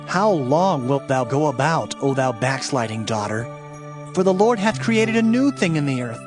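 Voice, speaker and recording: A man's voice: medium pitch, very animated, normal speed. A man narrating a dramatized scripture audiobook in a solemn, formal, declamatory manner, with music behind him.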